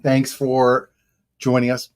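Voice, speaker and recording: A woman hosting a casual livestream from a basement, talking loudly into one shared table mic and greeting viewers by name. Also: very deep voice, completely flat delivery, slow pace. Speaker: show host. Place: basement studio. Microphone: one shared table microphone.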